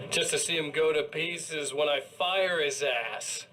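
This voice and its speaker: A smug game villain double: drawled, mocking, slightly high voice. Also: male voice, bright, forceful tone. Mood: sinister. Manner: slow, mocking and sneering, with taunting laughs. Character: smug, menacing male evil doppelganger.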